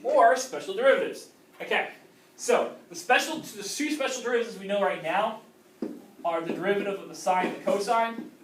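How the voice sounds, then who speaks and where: A man's voice: high-pitched, fairly quick, noisy background. A male math teacher lecturing a class, often checking in with "okay?". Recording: roomy classroom audio from a distant camera.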